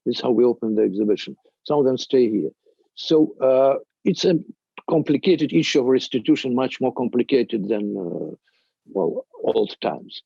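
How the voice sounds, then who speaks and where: An older man with a Russian accent gives a halting, emphatic presentation as a museum director, in non-native English. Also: mid-range voice, animated, vocal fry, warm tone, breathy edge, no echo, dull audio. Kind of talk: extemporaneous panel presentation.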